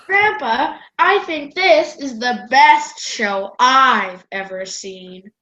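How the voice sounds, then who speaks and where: Very high, swooping little kid played by a female performer. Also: lively intonation, takes it slowly, bright, forceful tone, heavily acted, low-quality recording. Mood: excited. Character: excitable, curious, blunt young grandchild. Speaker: student radio play performer. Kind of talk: amateur radio play acting.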